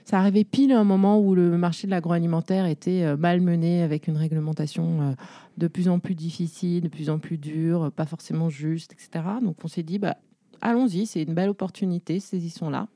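A woman's voice: on the low side, fairly even intonation, a little fast, warm tone, no echo. A woman in her forties, an entrepreneur, telling her career story in a casual interview, full of fillers and restarts. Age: 40s. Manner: chatty, many fillers and restarts. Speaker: guest, entrepreneur.

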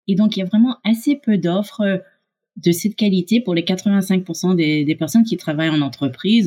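Low-voiced woman with a North American accent. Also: mellow. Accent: North American English. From Canada and USA. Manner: fluent, explanatory, with frequent fillers. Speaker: guest, startup co-founder. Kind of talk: podcast interview.

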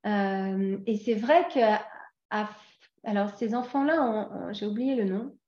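A woman in her forties from France, a guest talking casually in an interview and pausing often with fillers and restarts. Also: medium pitch, a little mellow, roomy sound, lo-fi sound. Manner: hesitant, many fillers and restarts.

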